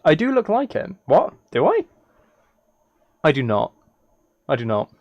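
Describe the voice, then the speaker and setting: A man chatting casually on a gaming livestream, rambling haltingly with playful, mock-serious dry humour. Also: a little high, huge pitch swings, no room sound, lo-fi sound. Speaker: live streamer.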